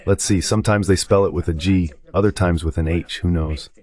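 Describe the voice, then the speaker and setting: Man, deep low voice, guest analyst, explanatory, conversational asides, voice-over commentary. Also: steady tone, fairly quick, a little mellow. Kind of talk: live war commentary read as an AI voice-over translation.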